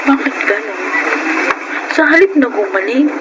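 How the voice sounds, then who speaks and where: Woman acting a meek Filipino housemaid, very high voice. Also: expressive, normal speed, muffled recording, heavy background noise, close to an everyday voice. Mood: plaintive. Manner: respectful, plaintive, earnest. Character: mistreated, loyal housemaid, meek and earnest. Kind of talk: Filipino radio drama acting. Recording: loud music under radio audio.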